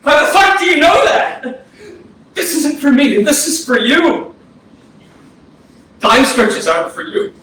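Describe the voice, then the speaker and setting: A man's voice: extremely high pitch, lively intonation, slow pace, long pauses, bright, forceful tone, exaggerated voice. An actor playing a wistful, bitter dead young man in a staged play reading. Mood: anguished. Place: arts center stage. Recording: very echoey hall, distant, faint noise.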